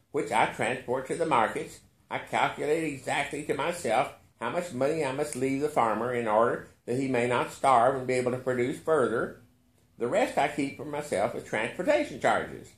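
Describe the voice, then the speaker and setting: A man voicing a wizened old billionaire in a story, slow and deliberate, with naive, smug, matter-of-fact answers. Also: medium pitch, expressive, echoey room, voice pushed up, natural voice. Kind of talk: storybook character voice in a short story reading.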